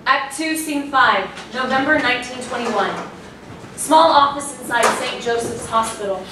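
A woman's voice: on the high side, unhurried. A woman reading stage directions as scripted narration at a staged play reading. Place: small indoor performance room with audience. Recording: very echoey room, distant mic, noisy.

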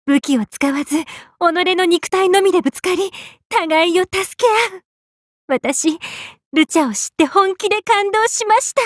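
High-voiced, affectionate sun goddess. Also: female voice, moderate pace, no echo, clean studio sound. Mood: cheerful, earnest. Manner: swings between excited exclamations and gentle, tender speech. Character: tall, bubbly, affectionate big-sisterly Aztec sun goddess. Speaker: game character. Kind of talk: Japanese anime game voice acting.

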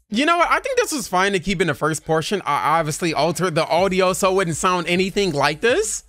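A young man hosting a casual anime reaction on a headset mic in a home streaming room, animated and quick to laugh. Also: high-pitched, no room sound, soft background music. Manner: animated, laughs often, talks fast in bursts.

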